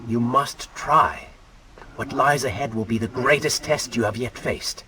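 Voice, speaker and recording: A man playing a sardonic dark alter ego spirit in a video game, mocking and theatrical as he goads a warrior. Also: mid-range voice, a little slow, slight background noise. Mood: goading. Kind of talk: dramatic video game voice acting.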